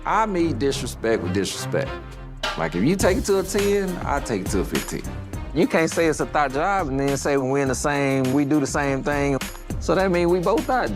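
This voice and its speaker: A man's voice: on the high side, very animated, moderate pace, over music. A man on a reality show, a comedian, bluntly confronting someone in a heated conversation.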